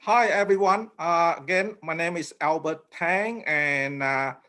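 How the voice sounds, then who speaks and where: This is a middle-aged man from Cambodia, a guest in an informal interview. His voice sits a little high for a man. He talks humbly and haltingly, with frequent pauses. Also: pushed and bright. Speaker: interview guest, memoir author.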